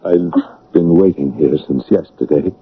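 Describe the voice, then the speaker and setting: An actor playing an anguished, brooding English gentleman with a dark secret in a classic radio drama, husky, pleading and impassioned. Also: low-pitched, unhurried, slight background noise. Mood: remorseful. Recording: narrow, tinny old radio broadcast.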